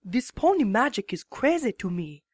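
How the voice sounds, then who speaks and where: A woman voicing a wise, mysterious female zebra potion-maker who speaks in slow, measured rhymes with a slightly husky voice. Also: a little high, very animated, no echo, voice pushed down. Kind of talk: fan dramatic reading.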